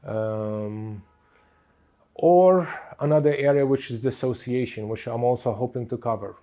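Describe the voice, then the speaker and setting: A middle-aged man whose first language was Portuguese talks in a reflective, unhurried way, with many pauses and fillers. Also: medium pitch, mellow. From Lebanon. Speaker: speaker on inner child work. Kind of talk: reflective teaching talk.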